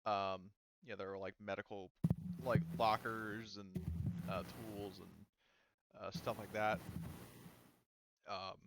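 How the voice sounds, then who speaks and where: A man's voice: lowish voice, level tone, slow, long pauses, fairly bright tone, rough recording. A man acting as game master, narrating a tabletop game casually in a loose, conversational way.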